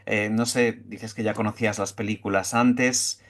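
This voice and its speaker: A man's voice: on the low side, fairly even intonation, normal speed, a bit bright. A man interviewer asking a question tentatively, thinking aloud as he goes, a little distant from the mic.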